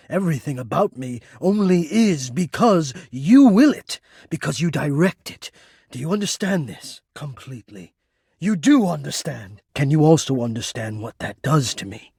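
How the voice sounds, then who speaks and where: Breathy, lovesick male machine. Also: lowish voice, darkish tone, no echo, studio quality, heavily acted. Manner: halting, intimate, breathy, earnestly formal. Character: shy, devoted, lovesick male home heating-and-cooling system. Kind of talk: dramatic romantic video game voice acting.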